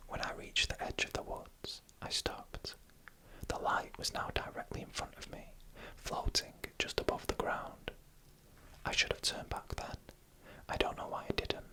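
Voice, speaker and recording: A narrator reading a scary story in a soft, unvoiced ASMR whisper, slowly and deliberately in short phrases with pauses. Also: poor audio.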